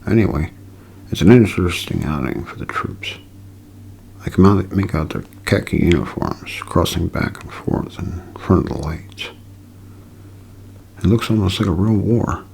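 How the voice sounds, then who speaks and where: A man's voice: sits low, animated, measured pace, vocal fry, darkish tone, a little hiss or hum, full character voice. A man playing an urgent military eyewitness reporter in a 1930s-style radio drama, breaking off in alarm. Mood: watchful, confident. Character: excited male military radio reporter watching the invasion.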